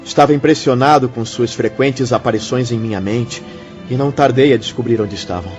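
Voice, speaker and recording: A man's voice: medium pitch, close to an everyday voice. Man playing a devout, encouraging medium, slightly muffled. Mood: gentle, reassuring. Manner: formal, measured, exhortative. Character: Fernando, gentle, devout male medium, encouraging counsellor. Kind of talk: Brazilian radio drama acting. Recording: slightly dull audio, music behind.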